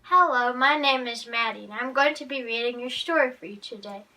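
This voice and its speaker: A woman's voice: on the high side, fairly quick, pushed and bright. A woman reading a Bible story aloud for children as a guest narrator. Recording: distant microphone, music underneath.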